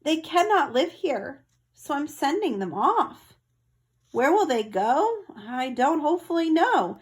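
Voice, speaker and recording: A woman voicing a fussy old male forest creature from a storybook, preachy and snappish, with a croaky edge. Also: high-pitched, big pitch swings, slow pace, pitched above the performer's own voice, heavily acted. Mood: pleading, mournful.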